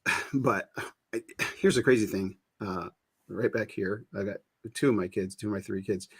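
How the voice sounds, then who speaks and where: A man's voice: fairly low pitch, moderate pace, husky, dry recording. A middle-aged man hosting a casual chat show, rambling and cutting himself off, often laughing. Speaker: show host.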